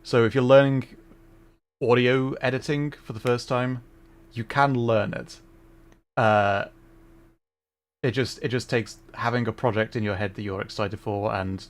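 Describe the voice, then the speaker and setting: A man's voice: medium pitch, fairly even intonation, a little slow, pauses often. A young adult man chatting casually while streaming a game, rambling and correcting himself, with frequent laughs.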